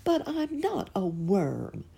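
A woman's voice: medium pitch, huge pitch swings, takes it slowly, vocal fry, mellow, slightly rough, pitched above the performer's own voice, full character voice. A woman voicing an eager, hopeful young male caterpillar in a storybook reading.

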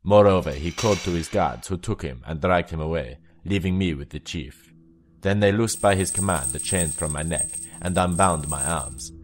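A man's voice: sits low, normal speed, faint music behind. A man giving solemn, dramatic narration of an old tale in formal archaic language. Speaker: storyteller, narrator.

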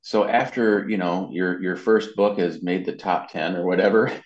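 A man with a low-pitched voice talks as an interview guest, explaining things in an informal, conversational way. The recording is dull and lo-fi, with a strong roomy echo around his words. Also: steady tone, normal speed, faint music behind. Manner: informal, explanatory, often checks in with "you know". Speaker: interview guest, marketing and web consultant. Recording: muffled lo-fi sound, roomy echo.

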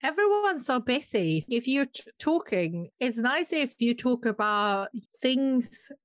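A woman's voice: on the high side, sing-song, slow pace, dry recording. A woman hosting a business interview podcast, chatty and affirming toward her guest. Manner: chatty, affirming, laughs lightly. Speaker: podcast host. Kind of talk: casual interview chat.

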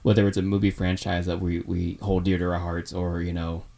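A man's voice: low voice, flat delivery, fairly quick, creaky, dark tone. A man in his thirties co-hosting a podcast, chatting casually and rambling with fillers. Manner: rambling, with many fillers and restarts. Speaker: podcast co-host.

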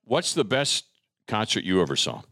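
A middle-aged American man hosting a casual chat, expressive and often repeating himself. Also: on the low side, moderate pace, no room sound, clean studio sound. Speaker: show host.

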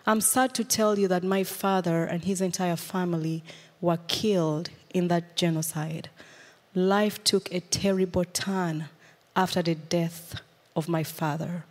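A woman in her thirties from Uganda, a guest speaker telling her life story to a church in an earnest, measured way. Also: on the low side, fairly even intonation, a little mellow, long reverb tail. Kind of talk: personal testimony. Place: church sanctuary.

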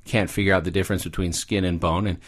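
A man's voice: low voice, fairly even intonation, fast. A man speaking as a guest expert, explaining fairy tales in a conversational way with little acted asides. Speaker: guest expert, psychology professor.

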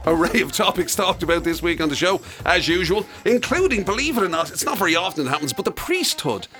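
A man's voice: high-pitched, big pitch swings, quick pace, bright, forceful tone, faint music behind. An Irish man chatting casually and jokingly as a radio host. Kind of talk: casual comic banter.

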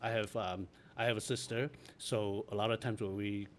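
A middle-aged man from Taiwan reminiscing casually in an interview, in a halting, self-correcting way. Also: on the low side, fairly even intonation. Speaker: interview guest, architect. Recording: noticeable background noise.

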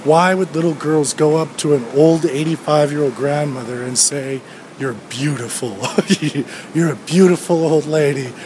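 A man's voice: a little high, a little slow. A man in his thirties hosting a solo podcast, ranting in a sardonic, profane way while walking outdoors. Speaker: podcast host. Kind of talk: solo rambling podcast rant. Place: outdoors on a street. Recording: street noise with passing traffic.

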